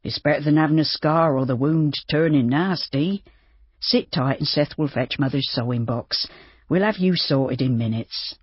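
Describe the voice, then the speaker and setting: A reader voicing an older farmer, practical and steady, who tends animals and wounds. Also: mid-range voice, normal speed, breathy edge, dry recording, highs cut off, close to an everyday voice.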